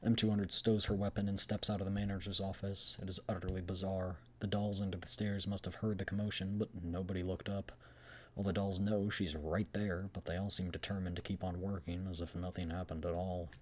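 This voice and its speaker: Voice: low-pitched, little pitch movement, fairly quick. A streamer doing a voiced readthrough of a game story, narrating the script and adding casual commentary.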